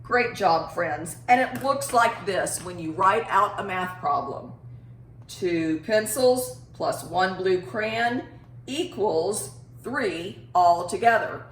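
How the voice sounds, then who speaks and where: A woman's voice: mid-range voice, expressive, slow pace, slight background noise. A woman teaching young children in an encouraging way, with simple phrasing and questions, as in a math lesson or storytime. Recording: echoey room.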